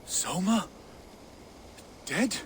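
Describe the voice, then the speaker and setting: A man playing a proud, battle-hardened Viking warlord in a video game, with a gravelly and forceful voice. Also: sits high, expressive, extremely slow, slight background noise, full character voice. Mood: stunned. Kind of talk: dramatic video game voice acting.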